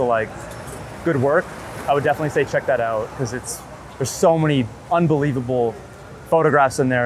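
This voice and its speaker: A man talking casually and earnestly as an interviewed photographer, rambling in a halting way. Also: medium pitch. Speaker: street and wedding photographer being interviewed. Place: outdoors on a city street. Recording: street noise, passing traffic.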